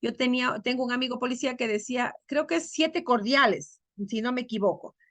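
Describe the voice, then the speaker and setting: A woman teacher giving an online class over a video call, quizzing her students and drawing out key words slowly. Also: on the high side, fairly bright tone, dry recording.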